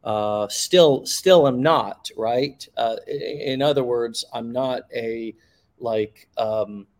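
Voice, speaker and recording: A man hosting a podcast, telling a story in a reflective, measured way with frequent pauses and fillers. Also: mid-range voice, a little mellow. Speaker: podcast host. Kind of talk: casual podcast conversation.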